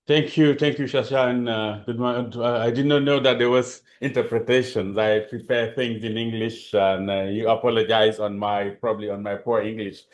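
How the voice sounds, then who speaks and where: A man from Senegal with a French accent, giving a hesitant, halting webinar presentation over a video call, with many fillers and restarts. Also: medium pitch, fairly even intonation, muffled recording. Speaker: panelist.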